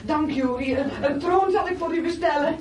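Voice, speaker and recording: A woman's voice: sits high, fairly quick, noisy background, natural voice. Hospitable, earnest peasant woman actress, echoey and a little distant, radio play. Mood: grateful. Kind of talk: radio drama acting. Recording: echoey, distant, background voices.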